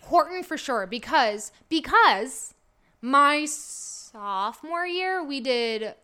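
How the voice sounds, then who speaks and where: A woman's voice: sits high, animated, takes it slowly, sharp tone. An American woman chatting casually as a podcast guest, rambling, emphatic and a little self-deprecating. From St. Louis area, United States. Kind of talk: casual chat.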